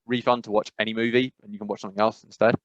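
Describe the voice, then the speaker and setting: A man's voice: on the low side, little pitch movement, rapid-fire, no room sound. Man, podcast co-host, rambling, self-correcting, dry muffled recording. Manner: rambling, self-correcting, frequent "I must be honest". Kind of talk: casual chat.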